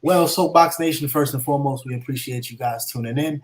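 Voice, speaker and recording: Upbeat man co-host giving shout-outs. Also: medium pitch, fairly quick. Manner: upbeat announcer-like delivery. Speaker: podcast co-host. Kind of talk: show announcements and listener shout-outs.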